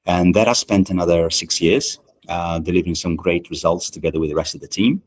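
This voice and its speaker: A middle-aged man from Italy talks in a deep, low voice worn smooth by life in Britain. He answers a podcast host thoughtfully, pausing often on fillers and restarting phrases. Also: steady tone. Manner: measured, with many "uh" and "um" pauses and restarts. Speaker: management consultant and guest. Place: podcast studio.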